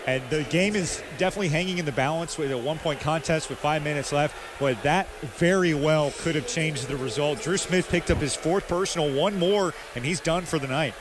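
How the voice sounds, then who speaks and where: A man's voice: on the high side, fairly quick, few pauses. An American man giving conversational, opinionated live basketball analysis as a radio color commentator at courtside. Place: courtside in a sports arena. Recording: live arena broadcast with clear background noise.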